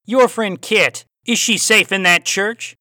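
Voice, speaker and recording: A man voicing a menacing, philosophical cowboy villain in an audiobook, with an Australian accent touched by cowboy twang and a formal, taunting, deliberate manner. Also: high-pitched, sharp tone, dry recording, clean studio sound, voice pushed up, heavily acted. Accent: Australian with a hint of cowboy twang. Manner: formal, deliberate, sinister rhetorical questioning. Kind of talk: audiobook character voice.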